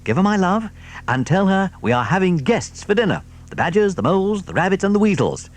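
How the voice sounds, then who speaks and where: A sly, showy fox, as a man puts him on, crowing and swooping through his words with big leaps of pitch. He sounds proud and gleeful, a storybook rascal enjoying every line. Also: brisk. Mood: tender. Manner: gleeful, theatrical, high and lively. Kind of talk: audiobook character voice.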